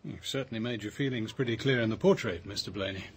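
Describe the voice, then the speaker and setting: An actor in a radio drama playing a polite, understated, kindly detective. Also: male voice, on the low side, quick pace, breathy edge. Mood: reserved. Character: courteous, reserved, kindly male police detective.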